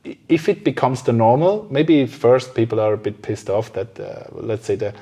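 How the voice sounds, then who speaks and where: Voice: medium pitch, expressive, moderate pace, warm tone. A podcast host in casual conversation, speaking in loose, hesitant phrases and often breaking off to rephrase.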